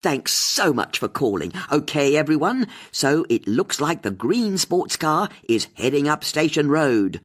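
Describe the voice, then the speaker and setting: A man's voice: a little high, big pitch swings, a little slow, few pauses, voice pushed up. A man playing a breezy, upbeat radio presenter woman.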